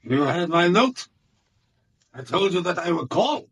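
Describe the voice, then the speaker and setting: Husky, imperious Bohemian king. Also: high-pitched, very animated, unhurried, fairly bright tone, exaggerated voice. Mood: haughty. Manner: pompous, formal, imperious. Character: proud, imperious Bohemian king, formal and haughty. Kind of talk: radio drama acting.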